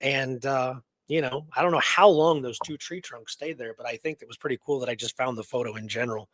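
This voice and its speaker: A man's voice: mid-range voice, brisk, fairly bright tone, dry recording. A man co-hosting a history talk show, reading details out with emphasis and adding casual conversational asides. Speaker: co-host presenting a true story. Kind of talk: conversational radio show narration, reading notes aloud with commentary.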